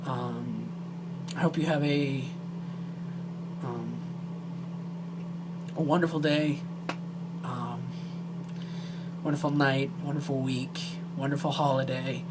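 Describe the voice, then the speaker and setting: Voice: medium pitch, fairly even intonation, takes it slowly, pauses often, very noisy. An amateur video creator speaking directly to viewers in an earnest, emotional and hesitant way.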